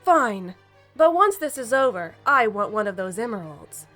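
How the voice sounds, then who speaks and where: A woman's voice: a little high, big pitch swings, moderate pace, a bit bright, faint music behind. A woman acting a vain, sassy, self-serving bat treasure hunter in a fan audio drama, with a haughty manner. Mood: disdainful.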